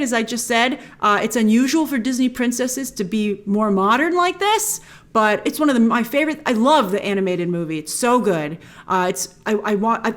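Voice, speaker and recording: Female reaction host: high-ish voice, very animated intonation, excitable, emphatic, conversational. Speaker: host of an entertainment news reaction show. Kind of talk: enthusiastic reaction commentary, informal.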